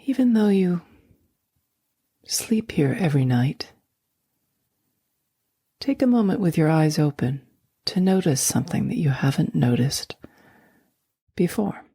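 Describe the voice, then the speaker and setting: A woman guiding a sleep meditation, speaking slowly and softly, often in a near whisper. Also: sits low, lively intonation, breathy edge, no room sound, clean studio sound. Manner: slow, soft and soothing, often whispered. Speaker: meditation guide.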